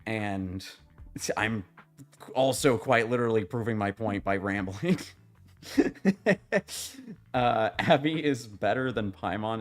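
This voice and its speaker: A young man streaming a game, chatting casually with viewers in a rambling, halting way, his voice slightly raspy. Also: lowish voice. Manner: rambling, halting, many restarts and fillers, swears casually.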